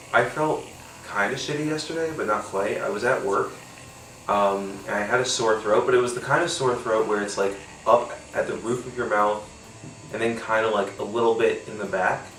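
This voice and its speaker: A man's voice: lowish voice, steady tone, normal speed, a bit bright, echoey room, distant mic, a little hiss or hum, poor audio. A man with a congested, nasal voice from a cold, rambling casually with lots of fillers and casual swearing while he tastes a beer. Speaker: podcast host. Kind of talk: casual solo beer-tasting chat.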